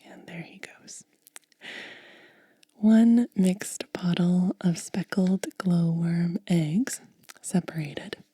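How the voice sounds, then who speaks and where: A woman ASMR host speaking in a hushed, breathy whisper with a lingering delivery, as in an unboxing. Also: on the low side, extremely slow.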